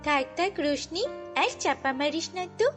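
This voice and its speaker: Snobbish cartoon rich kid played by a woman, very high, taunting and haughty, over background music. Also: lively intonation, normal speed. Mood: boastful, scornful. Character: boastful, snobbish rich little girl who mocks the poor. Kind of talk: children's fairy-tale cartoon voicing. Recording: long reverb, music bed.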